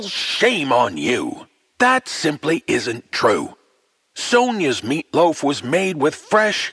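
A genial storyteller uncle from an old television horror show. His delivery is very unhurried and theatrical, with a mid-pitched voice and darkly sly asides. Faint music plays underneath. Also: a bit bright. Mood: coy, mock scolding. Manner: unhurried, theatrical, drawn-out phrasing with coy asides.

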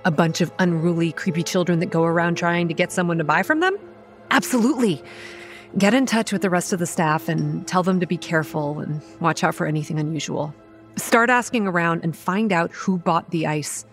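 Faint music sits behind an actress as a young female campground manager in a horror audio drama. Her voice is low and measured, telling eerie things in a pragmatic, wry, matter-of-fact way. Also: close to an everyday voice. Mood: worried, businesslike. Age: young adult. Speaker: narrator and lead character. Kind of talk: first-person scripted horror narration and dialogue.

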